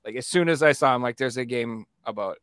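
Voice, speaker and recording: A man co-hosting a casual podcast over a video call, excitable and slangy, swearing and laughing as he talks. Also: mid-range voice, quick pace, smooth, no room sound, studio quality. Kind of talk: casual chat.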